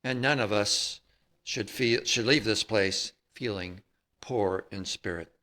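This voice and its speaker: A man reading a prepared text at a church service, with measured, emphatic phrasing. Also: lowish voice, pushed and bright. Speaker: service reader.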